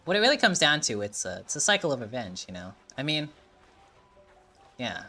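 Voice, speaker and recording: A man rambles casually while playing through a story game, his pitch rising and falling a lot. His tone is bright, with faint game music under his talk. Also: sing-song, brisk. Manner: rambling, chatty, swears casually. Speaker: game streamer. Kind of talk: casual gameplay commentary.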